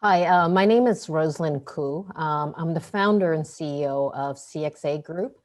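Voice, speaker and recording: A woman in her forties introduces herself as a founder on an online panel over a video call. Her voice sits notably low, and she speaks briskly and with confidence. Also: a little mellow. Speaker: founder and CEO.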